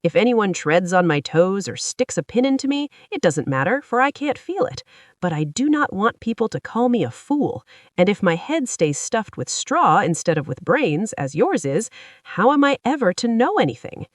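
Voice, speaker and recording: A woman voicing a friendly, polite, wistful male scarecrow with a husky voice in a storybook. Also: medium pitch, very animated, moderate pace, no echo, studio quality, close to an everyday voice. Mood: earnest, wistful. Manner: courteous, earnest, confiding.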